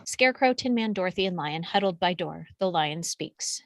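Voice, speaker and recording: A woman's voice: on the low side, animated, normal speed. A woman reading out screenplay action descriptions as scripted narration. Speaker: stage directions reader.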